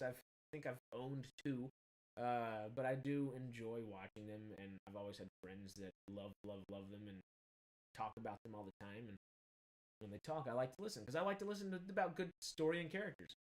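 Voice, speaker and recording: A man in his thirties with a slight Texan accent, hosting casual film news commentary in an animated, rambling, emphatic way. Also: fairly high pitch. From Texas. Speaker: film news show host.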